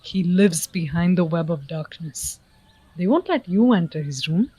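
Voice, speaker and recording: A woman's voice: on the low side, lively intonation, unhurried, mellow, slightly rough, no echo. A woman playing an earnest, philosophical professor in an audio play, declaiming in a poetic and ominous way. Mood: grave warning.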